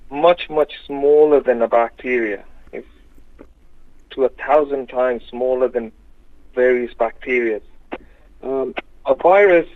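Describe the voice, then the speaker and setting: Man, GP guest from Birmingham, steady explanations, phone-line sound. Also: mid-range voice, no echo. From Birmingham, England. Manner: measured, halting explanations. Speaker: GP, medical guest. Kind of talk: explanatory radio interview.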